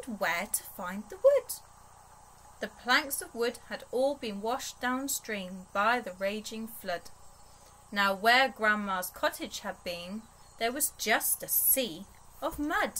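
A young woman reading a rhyming picture book aloud to small children in an expressive storytelling manner. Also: on the high side, slow pace, slight background noise, rough recording. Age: young adult. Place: small bedroom, indoors.

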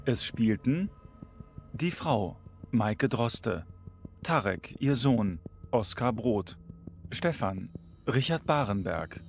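A man's voice: mid-range voice, animated, slow, gravelly, long pauses. A man reading scripted closing credits as a radio drama announcer. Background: faint music.

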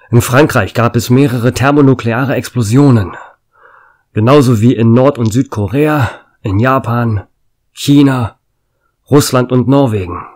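A man's voice: medium pitch, close to an everyday voice. A man playing a doomed small-town radio host. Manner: urgent broadcast announcing, turning quiet and resigned. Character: male small-town radio host Gary, facing doom. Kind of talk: German fan radio drama acting.

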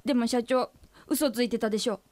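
A woman's voice: on the high side, brisk, a little mellow, no room sound, lightly acted. An actress playing a stern, exasperated female employee in a comedic radio drama, confronting her boss in polite but blunt, matter-of-fact speech. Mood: accusing, firm.

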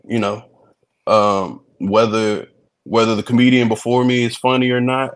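A man from Texas, a comedian, chatting in a relaxed video-call interview, laughing easily and repeating words as he starts his sentences. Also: mid-range voice, moderate pace, smooth. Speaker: comedian and reality show guest. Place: indoor room.

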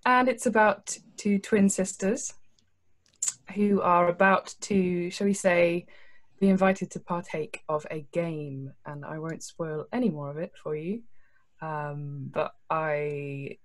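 A woman's voice: fairly low pitch, slow pace. A woman, a guest writer wryly introducing a story on a live show. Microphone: video call from home.

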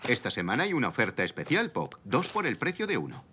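A man voicing a downcast, kindly zebra shopkeeper father in a children's cartoon, gentle and apologetic. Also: medium pitch, wildly swooping pitch, fast, soft background music. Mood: regretful.

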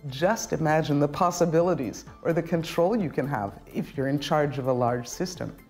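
A middle-aged woman giving scripted instructional narration as a tutorial presenter, enunciating clearly step by step. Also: low voice, moderate pace, a little mellow. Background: background music.

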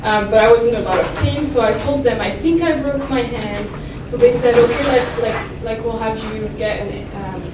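A young woman from France, a native French speaker, talks through her lecture in a loose, self-deprecating way, full of ums and restarts. She is heard from across a roomy lecture hall, and the recording has clear background noise. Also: fairly high pitch, normal speed, hardly any pauses. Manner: chatty and self-deprecating, many fillers and restarts. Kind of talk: informal lecture at a podium. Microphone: podium microphone heard from a distance. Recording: echoey room from far off, noisy.

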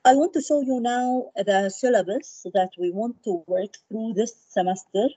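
Woman teaching online, mellow voice. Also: a little high, normal speed, breathy edge, no echo, low-quality recording. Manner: encouraging, mixes in Arabic. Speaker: English lecturer. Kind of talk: online class. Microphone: video call.

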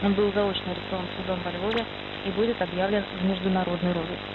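A woman reads scripted news at a brisk pace. Her medium-pitched voice sounds thin and narrow over shortwave. Strong hiss and rumble cover the broadcast. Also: flat delivery. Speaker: news presenter. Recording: phone-like shortwave radio, loud hiss.

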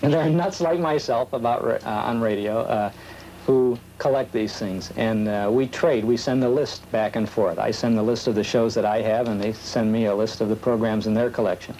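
Halting man on noisy old videotape. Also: on the low side, warm tone. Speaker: old radio program collector being interviewed. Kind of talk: casual interview talk. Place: small office, indoors.

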